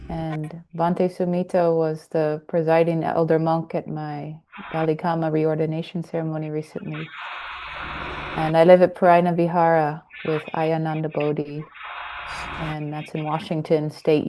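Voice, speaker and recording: A woman, a Buddhist nun, giving a clear and composed introduction to a class over a video call. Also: sits low, monotone, moderate pace, background noise.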